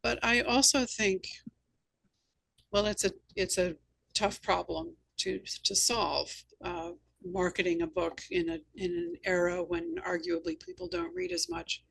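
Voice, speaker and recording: A woman hosting a conversational interview among authors, speaking in a thoughtful, measured way. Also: fairly low pitch, lively intonation, vocal fry, fairly bright tone, husky, poor audio. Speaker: host and author.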